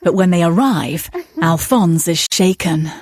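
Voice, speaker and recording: A woman's voice: fairly low pitch, lively intonation, unhurried, a little mellow, no echo. A woman reading scripted narration between scenes of an audio drama.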